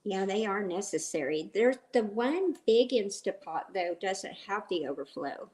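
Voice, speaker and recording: Slow-talking raspy woman with a cold. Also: medium pitch, animated, a little mellow. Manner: chatty and rambling, reads viewer comments aloud. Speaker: livestream host. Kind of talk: casual cooking livestream chat.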